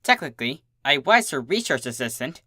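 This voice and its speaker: A narrator giving an expressive first-person reading of a comic fan story. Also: mid-range voice, brisk, no room sound, clean studio sound.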